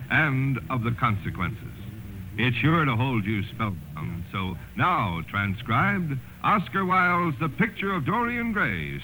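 A man's voice: mid-range voice, sharp tone, a little hiss or hum, rough recording. A man hosting a mystery show in a dramatic 1950s radio announcing style. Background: music.

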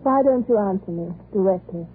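An actress playing a dignified, anxious upper-class wife in middle age, speaking formally and earnestly in a 1930s radio drama. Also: female voice, mid-range voice, fairly quick, natural voice. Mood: hurt. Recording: scratchy, tinny 1930s radio recording.

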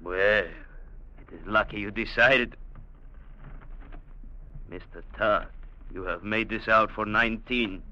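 An actor playing a brutal, arrogant captain who barks orders and sneers threats in a 1950s radio drama. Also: male voice, lowish voice, takes it slowly, long pauses, pushed and bright, heavily acted. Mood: menacing. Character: brutal, bullying trading-post captain, menacing and arrogant. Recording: dull vintage radio broadcast.

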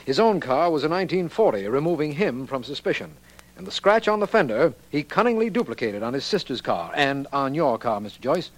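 A man's voice: fairly high pitch, lively intonation, a little fast, low-quality recording. An actor playing an earnest lawman, the detective's associate, with formal, inquiring delivery in 1940s radio drama style. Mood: curious, satisfied.

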